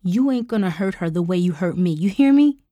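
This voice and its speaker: A woman's voice: on the low side, lively intonation, fairly quick, no room sound, close to an everyday voice. A woman voicing a fiercely protective young woman who confronts people intensely, in audiobook style storytelling. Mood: furious, wounded. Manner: growling, hissing, shouting confrontationally.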